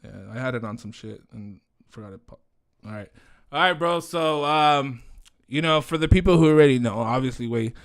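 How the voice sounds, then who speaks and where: A man's voice: mid-range voice, normal speed. Joking bilingual podcast host, a man. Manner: joking, rambling, mixes Spanish and English. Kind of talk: casual podcast interview.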